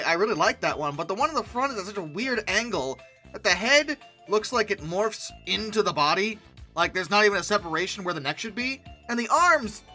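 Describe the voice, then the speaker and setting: A young woman hosting a comedic commentary, sarcastic and exasperated. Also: mid-range voice. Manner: sarcastic, exasperated, lively outbursts. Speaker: YouTube host.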